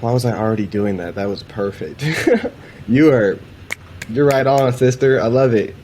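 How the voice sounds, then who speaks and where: A man presents a demo in a casual, off-the-cuff way. His talk is informal and slangy, with an enthusiastic manner and an everyday mid-pitched voice. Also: moderate pace, darkish tone, a little hiss or hum. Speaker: presenter demonstrating AI voice assistants.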